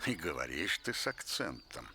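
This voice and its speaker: A man voicing a suspicious, wary rustic man in an animated film, deliberate and gravelly. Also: very deep voice, slight background noise, heavily acted. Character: suspicious, slow-spoken rustic man with a pet.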